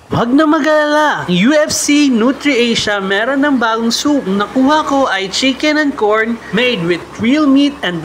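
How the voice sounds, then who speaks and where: A man's voice: very high voice, a little hiss or hum. A man with a Filipino accent hosts a bedtime story show, reading listener letters aloud and mixing English into his talk. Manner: switches between Tagalog and English.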